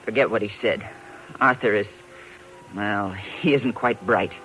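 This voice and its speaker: A gruff bearded woman of the carnival, acted for radio, with a low, forceful voice. She is terse and commanding, on an old 1950s recording. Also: a little slow, faint music behind, lightly acted, low-quality recording. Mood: stern, warning. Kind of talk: 1950s radio drama acting.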